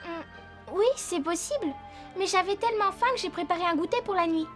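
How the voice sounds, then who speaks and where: A woman voicing a curious, friendly little girl in a French cartoon dub, chatty and bright. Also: very high voice, brisk. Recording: voice over loud music.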